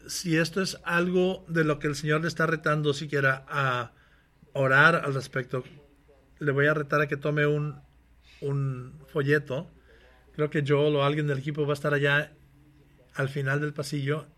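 An earnest pastor calls his congregation to action and then moves into prayer. His voice sits a little higher than most men's. He often breaks off and restarts his phrases. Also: flat delivery, normal speed, a bit bright. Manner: earnest, exhorting, with frequent restarts. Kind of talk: sermon with closing prayer.

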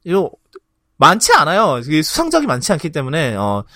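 A man hosting a podcast in a casual, halting way, often clearing his throat between phrases. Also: a little high, wildly swooping pitch, sharp tone, dry recording, clean studio sound. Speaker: podcast host. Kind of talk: casual podcast outro and announcements.

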